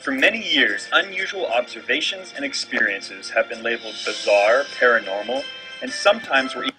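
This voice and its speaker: A man's voice: mid-range voice, sing-song, moderate pace, music in the background, poor audio. A man delivering scripted documentary narration, heard as a re-recorded video playback.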